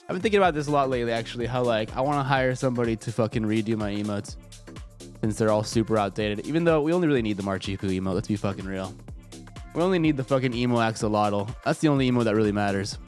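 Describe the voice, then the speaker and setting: A man's voice: mid-range voice, fast talker, darkish tone. Stuffy-nosed man streamer chatting, cursing. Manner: profane, joking, rambling. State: congested, slightly stuffy. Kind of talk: casual livestream chat. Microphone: desk microphone. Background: faint music.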